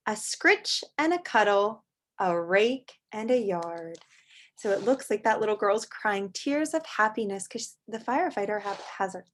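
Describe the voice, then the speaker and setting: Higher-pitched woman reading aloud to children. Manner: explains words simply, asks children questions. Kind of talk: storybook read-aloud lesson for young children. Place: online video session with screen sharing.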